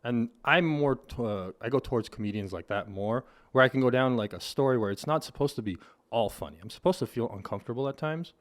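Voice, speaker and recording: A man's voice: medium pitch, fast. A man in his thirties hosting a podcast from a home studio, talking in a thoughtful, rambling way with restarts. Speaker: podcast host. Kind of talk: casual interview chat. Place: home studio with hexagon wall panels. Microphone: boom-mounted studio mic with headphones.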